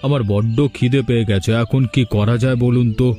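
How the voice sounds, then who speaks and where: A man's voice: mid-range voice, fairly even intonation, brisk, dark tone, natural voice. Man playing a polite, hungry traveller. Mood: pleading. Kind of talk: cartoon dialogue. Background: background music.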